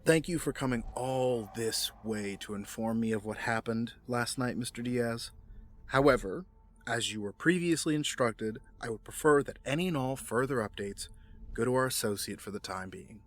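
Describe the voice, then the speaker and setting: A man playing a cold, commanding criminal mastermind in an audio drama, speaking in a formal, measured, authoritative way. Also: medium pitch, slight background noise, close to an everyday voice. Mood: cold authority. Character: cold, commanding male criminal mastermind in a suit-and-tie world.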